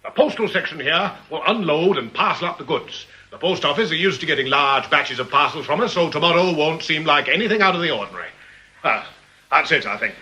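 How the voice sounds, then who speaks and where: An actor playing a brisk, impatient second-in-command of a criminal gang in a radio drama, with a bossy, clipped manner. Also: male voice, fairly high pitch, lively intonation, sharp tone. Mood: brisk, instructing.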